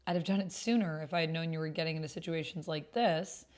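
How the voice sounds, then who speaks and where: Casting: female player as sassy young woman, confident, breezy, teasing, lowish voice, roleplay game. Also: quick pace, darkish tone, natural voice.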